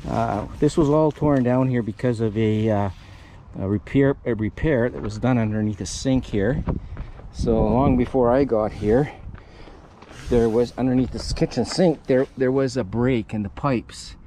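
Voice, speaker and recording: Mellow man giving a casual repair tutorial. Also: medium pitch, a little slow, a little hiss or hum. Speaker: host of DIY renovation channel. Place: outdoors under a raised mobile home.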